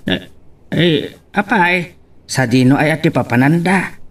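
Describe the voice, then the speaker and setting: A man's voice: on the high side, lively intonation, a little slow, a bit bright, far from the mic. An actor playing a prying but reassuring male confidant in an Ilocano drama. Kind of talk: Ilocano radio-style drama acting.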